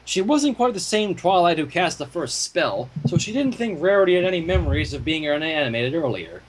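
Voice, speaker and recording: A man giving an expressive, storybook-style narration as he reads fan fiction aloud. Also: high-pitched, slightly rough, poor audio.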